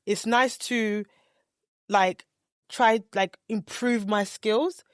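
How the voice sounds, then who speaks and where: A woman talks easily on a podcast, wandering between thoughts and restarting her sentences. Her voice sits in a middle pitch. The recording is dry, with no room around it. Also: steady tone, extremely slow, clean tone, no echo. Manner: chatty, rambling, frequent restarts. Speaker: podcast co-host. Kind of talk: casual chat.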